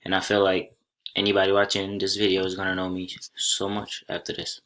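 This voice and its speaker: Low, flat-voiced young man from Senegal. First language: Soninke. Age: young adult. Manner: plain, unhurried, with small restarts. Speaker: college student. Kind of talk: class presentation over slides.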